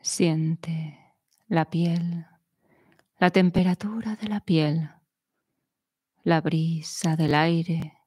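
A woman leads a guided meditation at a very slow pace, with a slightly low voice. She gives soothing, measured instructions in short phrases, leaving long pauses between them. The sound is dry and close. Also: steady tone, dark tone, breathy edge, no room sound.

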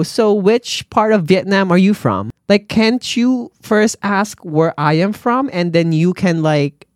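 Animated man, fairly high voice. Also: no echo, clean studio sound. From Philippines. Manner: animated, exclaiming, laughs often. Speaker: podcast host, nurse. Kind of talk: reading listener stories with commentary.

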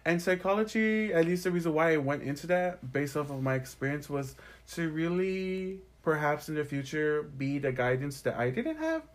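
A man's voice: fairly high pitch, lively intonation, moderate pace, few pauses. A young man from American Samoa answering interview questions in an earnest, explanatory, reflective way. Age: young adult. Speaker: interview guest.

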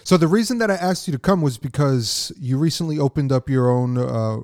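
Fast-talking man hosting a casual podcast. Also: medium pitch, expressive, a little mellow. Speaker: podcast host. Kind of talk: casual interview chat.

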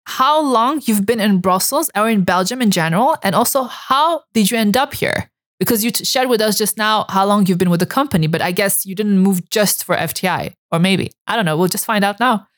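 A woman's voice: medium pitch, fairly bright tone. A woman hosting a podcast, speaking conversationally as she introduces and questions guests. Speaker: podcast host.

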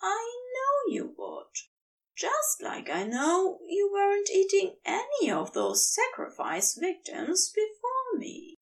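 A woman voicing a tender, anxious male angel in fan fiction, soft and lilting. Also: very high-pitched, wildly swooping pitch, slow, far from the mic, pitched above the performer's own voice, heavily acted. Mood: sad, fond. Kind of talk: fan fiction audiobook character voice.

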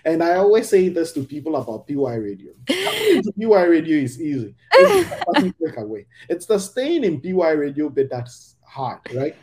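Animated man with a lively pitch. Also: moderate pace, hardly any pauses. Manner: animated, emphatic, often asks "right?". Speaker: podcast host. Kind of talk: casual conversational podcast chat.

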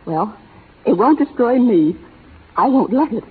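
Vintage radio heroine: refined young schoolteacher, principled, earnest and dramatic, husky, on tinny old recording. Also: female voice, fairly high pitch, lively intonation, unhurried. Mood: anguished, defiant. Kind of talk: 1930s radio melodrama acting.

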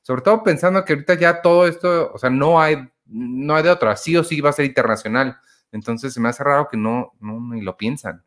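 A man hosts a relaxed podcast chat about movies, drifting along with fillers and restarts. His voice sits at an ordinary middle pitch. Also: brisk. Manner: relaxed, chatty, filler-heavy. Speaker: podcast host. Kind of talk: casual film review chat.

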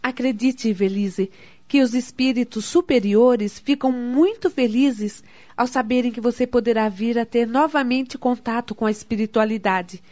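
A woman plays a proud, devout Brazilian spiritist in a radio soap opera. She speaks in a highish voice with formal, didactic earnestness. The recording sounds slightly dull and lo-fi. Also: moderate pace, a little mellow, close to an everyday voice. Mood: earnest, devout. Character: devout, proud, helpful spiritist daughter of a matron.

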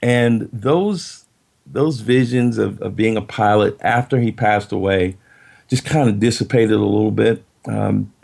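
A middle-aged man with a low, heavily gravelly voice and a husky edge. He talks slowly and reflectively, restarting phrases as he thinks aloud. He is a guest in a casual interview. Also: a little mellow. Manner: reflective, halting, many restarts.

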